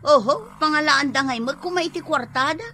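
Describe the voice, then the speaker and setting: A woman's voice: a little fast, sharp tone, a little hiss or hum. A woman playing an envious, quarrelsome grown daughter in an Ilocano radio comedy drama, with a whiny, complaining manner. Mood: indignant. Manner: shrill, complaining.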